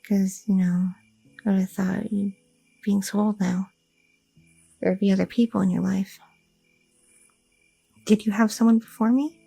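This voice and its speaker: A woman playing a breathy, anxious goth girlfriend. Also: mid-range voice, little pitch movement, unhurried, long pauses, faint music behind, voice pushed down, rough recording. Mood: tender. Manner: soft, affectionate, a little hesitant, with fillers. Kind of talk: ASMR audio roleplay.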